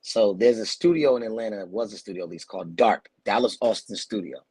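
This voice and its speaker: A man's voice: lowish voice, quick pace, darkish tone, husky, no room sound. A man from New Jersey, in his thirties, telling stories in a laid-back, slangy way on a video call interview. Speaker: guest actor. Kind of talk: casual storytelling interview.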